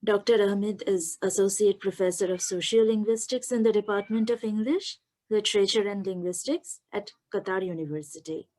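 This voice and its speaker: A woman hosts an online event and reads out a guest's credentials. She keeps a formal, measured delivery at a middling pitch. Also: mellow. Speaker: webinar host. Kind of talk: reading a speaker introduction.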